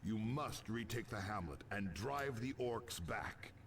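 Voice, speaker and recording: An actor playing a stern space marine commander, authoritative and formal, in a sci-fi military game briefing. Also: male voice, fairly low pitch, expressive, slow pace, fairly bright tone, far from the mic, a little hiss or hum, full character voice. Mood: grave, commanding.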